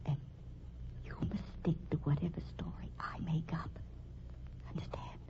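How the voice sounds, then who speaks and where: An actress playing a scheming, defensive married murderess in a 1950s radio mystery, with theatrical delivery. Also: female voice, sits low, level tone, measured pace, creaky, in a whisper. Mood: hushed, conspiratorial. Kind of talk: 1950s radio drama acting. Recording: dull, noisy old radio recording.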